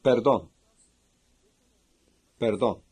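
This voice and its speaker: A man with a Spain Spanish accent playing a courteous, sociable Spanish man in a language-course dialogue, speaking slowly and clearly for learners. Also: medium pitch, darkish tone. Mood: clear, deliberate. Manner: slow and clearly spoken for learners.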